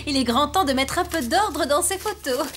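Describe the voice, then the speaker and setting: A woman voicing a doting, excitable mother in an animated series, gushing sentimentally. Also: high-pitched, normal speed, over music. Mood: fondly nostalgic. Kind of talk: French animated series dialogue.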